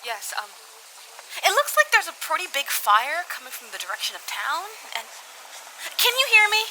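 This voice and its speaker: Sharp-voiced, uneasy eyewitness caller. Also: female voice, high-pitched, sing-song, moderate pace, a little hiss or hum, full character voice, poor audio. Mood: uneasy, awed. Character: uneasy local eyewitness caller, plain-spoken. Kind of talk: radio drama phone call acting.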